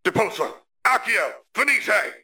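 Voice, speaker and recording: A man voicing a battling wizard in an audiobook, hurling out explosive, forceful spell incantations. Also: medium pitch, brisk, creaky, pitched above the performer's own voice. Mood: fierce. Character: battling young male wizard shouting combat spells. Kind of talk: audiobook character dialogue.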